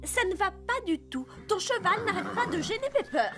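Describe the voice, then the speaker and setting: A haughty young cartoon girl, extremely high and lively in pitch. She whines with theatrical disdain, with clear noise in the background. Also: moderate pace. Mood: petulant. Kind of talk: French-dubbed children's cartoon acting.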